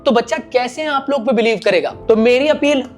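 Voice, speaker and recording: A man's voice: very high voice, normal speed. A young man speaking directly to students in an earnest, appealing commentary, often posing rhetorical questions. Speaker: education content creator addressing students. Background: background music.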